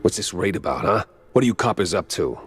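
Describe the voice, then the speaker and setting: An extremely deep-voiced mouse private eye talks in terse, wry noir lines. He is gruff and dry, a video game detective with music playing clearly behind him. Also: animated, fast. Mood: stern, impatient. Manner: wry, terse tough-guy talk.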